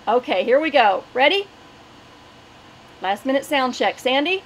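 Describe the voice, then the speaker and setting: A woman's voice: high-pitched, sing-song, measured pace, slight background noise, poor audio. A middle-aged woman hosting an online webinar and making announcements. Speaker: webinar host.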